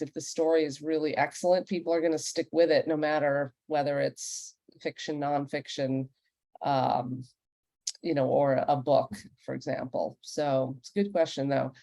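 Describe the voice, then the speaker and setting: Low-voiced, mellow woman moderating a panel. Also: no echo. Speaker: panel moderator and podcast executive. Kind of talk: panel discussion with audience questions.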